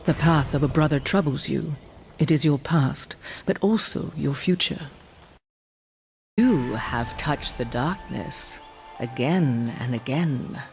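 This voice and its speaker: A woman playing a solemn old shaman of a dying giant race in a fantasy game, speaking in a measured, mystical way. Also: rumbling low, darkish tone, faint music behind, full character voice. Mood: ominous. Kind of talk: dark fantasy game acting.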